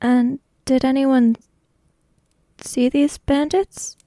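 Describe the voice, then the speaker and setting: Tabletop game: logical, formal samurai, acted by a woman, slightly high, mellow, deliberate delivery. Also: steady tone, dry recording. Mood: calm, probing. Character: composed, formal, logical samurai, measured and direct.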